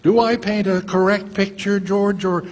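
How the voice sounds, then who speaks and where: An actor playing a scheming, condescending old rich banker in old-time radio drama style, pompous and sneering, on a theater stage. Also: male voice, high voice, steady tone, normal speed, close to an everyday voice. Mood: smug, persuasive. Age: older. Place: live stage in a large theater. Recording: echoey theater hall.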